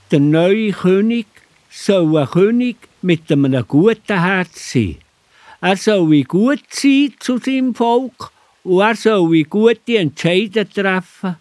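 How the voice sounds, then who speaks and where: A man acts out an old, pious prophet with a Swiss accent. His voice sits fairly high and moves in big, theatrical swings. The recording is close and dry, made for a children's radio play. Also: darkish tone, dry recording. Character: old biblical prophet, pious, solemn, astonished. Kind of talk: children's Bible audio drama acting.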